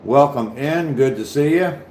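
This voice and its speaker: A man from Ohio with a mild southern Ohio accent and a deep voice, chatting casually on his livestream and answering viewers' comments. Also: a little slow, darkish tone, echoey room, slight background noise. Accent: southern Ohio, slight Appalachian touch. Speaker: livestream host.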